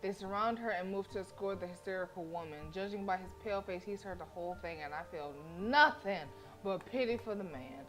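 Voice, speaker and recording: A woman's voice: mid-range voice, brisk, low-quality recording. Female streamer, mid-range voice, sassy storyteller delivery with casual commentary, faint music behind. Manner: expressive, sassy, talks back to characters. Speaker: game streamer. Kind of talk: reading visual novel text aloud with casual reactions. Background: game music.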